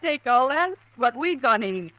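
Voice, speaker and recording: An actress playing a frightened frontier wife held at gunpoint, in 1950s radio acting. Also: female voice, big pitch swings, moderate pace, a bit bright. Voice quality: high, pleading.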